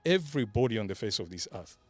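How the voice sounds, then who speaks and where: A man's voice: on the low side, big pitch swings, fast talker, darkish tone, dry recording. Man, emphatic, motivational, repeats key phrases, dry sound, faint music behind. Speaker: podcast panellist. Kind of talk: motivational casual talk.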